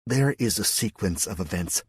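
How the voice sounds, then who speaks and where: Man putting on a high, eager woman organizer. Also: expressive, normal speed, slightly rough. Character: eager middle-aged woman resistance organizer.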